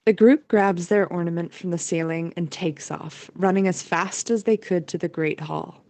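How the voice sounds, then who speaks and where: A woman narrates an audio play in a mellow, somewhat low voice. Her scripted storytelling is recorded very dry and close. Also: moderate pace, gravelly, no room sound.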